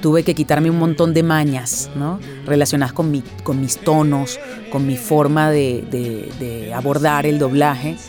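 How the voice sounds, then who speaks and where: A woman's voice: low-pitched, steady tone, a little slow, over music. A middle-aged woman from Venezuela who works as a voice actress, answering interview questions in a reflective way, often restarting and tagging her sentences with questions.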